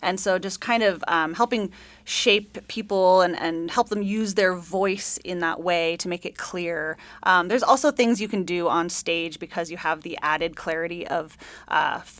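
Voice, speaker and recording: A woman's voice: fairly low pitch, normal speed, fairly bright tone, dry recording. A woman speaking as an interview guest, explaining her work in a casual, conversational way. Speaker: radio play director and producer, interview guest.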